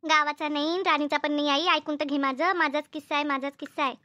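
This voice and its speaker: Woman voicing a shrill, grumbling cartoon village housewife. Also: fairly even intonation, fast talker, sharp tone. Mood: chatty. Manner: colloquial, repetitive, grumbling. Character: Shanta, complaining rural Marathi housewife and mother. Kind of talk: comedy cartoon dubbing, everyday domestic chat.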